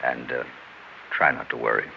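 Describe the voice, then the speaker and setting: An actor playing a scheming, persuasive American husband in a 1960s radio drama, smooth-talking but hesitant under pressure. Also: male voice, rumbling low, flat delivery, moderate pace, heavily acted. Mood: soothing. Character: scheming, smooth-talking husband who plotted his wife's murder. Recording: dull, hissy 1960s radio recording.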